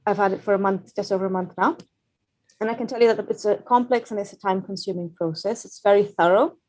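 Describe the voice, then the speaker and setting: A woman from Pakistan speaks as a journalist in a prepared webinar talk. Her delivery is earnest, measured and reflective, at a medium pitch. She comes through a video call mic. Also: a little mellow, rough recording.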